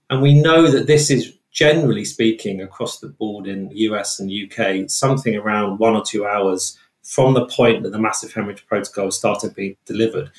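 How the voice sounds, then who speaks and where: A British man explaining research as an interview guest in a measured, careful way. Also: fairly low pitch. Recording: roomy, echoey sound.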